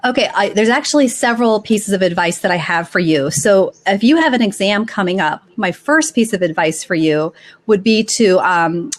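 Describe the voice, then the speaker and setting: A woman's voice: mid-range voice, normal speed. A woman hosting a live advice show, talking conversationally in an encouraging, explanatory way to her audience. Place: live online call-in broadcast.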